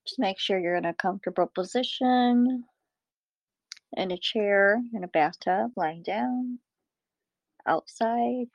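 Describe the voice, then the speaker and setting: Female meditation guide: unhurried, measured short phrases, gravelly fry with whispery endings, calm instruction. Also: mid-range voice, dry recording.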